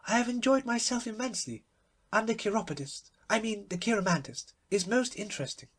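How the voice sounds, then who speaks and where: Man voicing a fluttery, high-pitched old duchess. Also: brisk, a bit bright, slightly rough. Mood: gushing, flustered. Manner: fluttery, effusively polite. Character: gushing, dithery aristocratic old duchess. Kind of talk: literary audiobook character voice.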